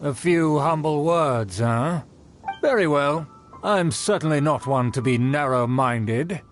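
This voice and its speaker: A man's voice: on the high side, soft background music. A man playing a haughty, pompous nobleman in a video game, with flowery, formal, theatrical delivery. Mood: condescending. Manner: pompous, flowery formal speech, drawn-out deliberation.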